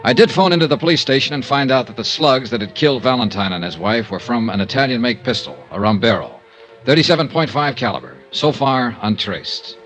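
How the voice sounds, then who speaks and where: A man's voice: medium pitch, lively intonation, fairly quick, a bit bright, lightly acted. An American man playing a cool, courteous insurance investigator in 1950s noir radio drama, with a clipped, world-weary delivery. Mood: brisk, matter-of-fact. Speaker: lead actor and narrator. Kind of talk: 1950s noir radio drama acting and first-person narration. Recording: vintage radio recording with music.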